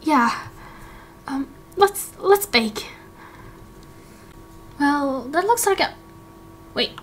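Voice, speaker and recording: Woman as an awkward, bright-voiced young angel man. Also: sits high, expressive, slight background noise, exaggerated voice. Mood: uneasy. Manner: hesitant, halting. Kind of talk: Minecraft roleplay voice acting.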